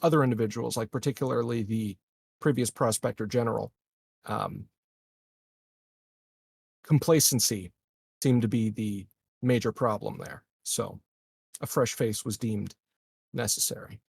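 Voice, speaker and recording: A man roleplaying a measured, diplomatic colony administrator in a tabletop game, speaking formally and haltingly. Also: fairly low pitch, gravelly, darkish tone, breathy edge, exaggerated voice.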